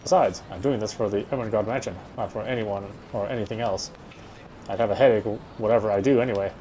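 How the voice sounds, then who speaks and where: A man's voice: lowish voice, quick pace, warm tone, a little hiss or hum, rough recording. A man reading visual novel narration aloud, sometimes stumbling and going back over phrases. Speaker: game streamer reading aloud.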